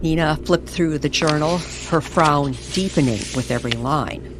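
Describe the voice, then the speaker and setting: A woman narrating a mysterious story in old-time radio style, deliberate and suspenseful, with dramatic pauses. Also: low voice. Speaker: co-narrator of an audio story series. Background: music under the voice.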